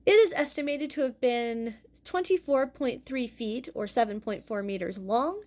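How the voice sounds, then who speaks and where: A young adult woman hosting a fossil lesson as a paleontology teacher, explaining clearly and going carefully over hard names. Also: on the high side, moderate pace, darkish tone. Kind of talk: educational fossil presentation.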